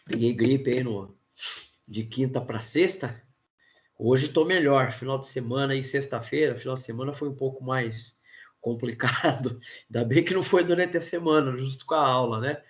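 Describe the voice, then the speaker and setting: A Brazilian man with a cold-roughened, hoarse voice, teaching an online class in a casual, chatty way. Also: medium pitch, normal speed. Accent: Brazilian Portuguese. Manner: casual, frequent filler words and restarts.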